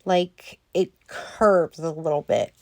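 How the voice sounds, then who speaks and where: A woman talking through a nail tutorial in a slow, rambling way with lots of ums, a little hoarse as she loses her voice. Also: sits low, steady tone, no room sound, clean studio sound. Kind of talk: casual voice-over tutorial.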